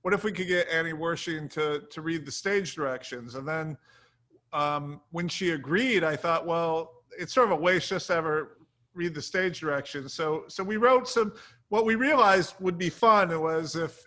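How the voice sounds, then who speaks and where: A man's voice: a little high, pushed and bright, no room sound. A man answering interview questions casually as a show's co-creator, rambling and hesitant, with self-deprecating asides. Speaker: TV series co-creator, interview guest.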